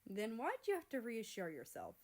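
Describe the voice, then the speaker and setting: A woman's voice: fairly high pitch, wildly swooping pitch, normal speed, a little mellow, full character voice. A woman voicing a cheeky teenage younger brother in a read-aloud story, nosy, mocking and playful. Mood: amused.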